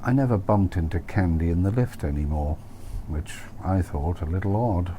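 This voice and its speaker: A man's voice: low voice, moderate pace, mellow, a little hiss or hum. A man reading his own first-person novel aloud as an audiobook, in a wry, dry manner.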